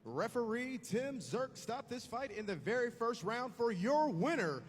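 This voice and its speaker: A man announcing in a fight arena, booming and drawing out the fighters' names. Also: big pitch swings, measured pace, slightly rough. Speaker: ring announcer. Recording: echoey arena from far off.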